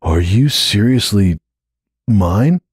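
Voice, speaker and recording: A man voicing an overwhelmed young male pony in a dramatic story reading, a stunned new father with a breathy, unsteady, halting voice. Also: on the low side, animated, dry recording, studio quality, heavily acted. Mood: shaken, awed. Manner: halting, stammering.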